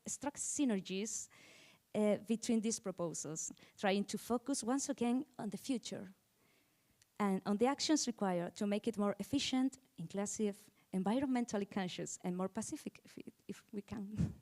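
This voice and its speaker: A woman's voice: medium pitch, moderate pace, warm tone. A woman speaking as the host of an event, giving a semi-formal welcome and introducing the speakers.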